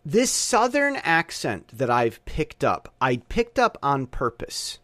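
A middle-aged man rambles through a casual podcast monologue, swinging his pitch up and down. His voice sits a little high, and it is recorded dry and close. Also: takes it slowly, no echo. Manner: rambling, animated, frequent restarts. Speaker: podcast host. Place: Taiwan.

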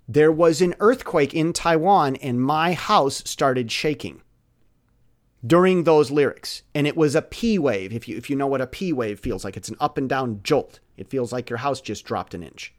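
A man speaking in a casual, rambling monologue, excitably repeating words and phrases for emphasis. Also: medium pitch, unhurried. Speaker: musician with an online channel.

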